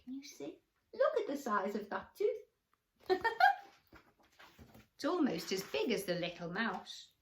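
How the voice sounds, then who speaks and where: A woman's voice: a little high, huge pitch swings, a little slow, pauses often, slight background noise. A woman storyteller reading a bedtime story expressively to children, with questions and asides to the young listeners.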